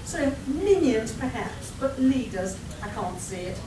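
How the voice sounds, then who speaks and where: A woman playing a brisk, matter-of-fact young adventurer and inventor in a live comedic radio play. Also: a little high, big pitch swings, a crowd in the background. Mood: reasoning. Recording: echoey hall, far mic, noisy.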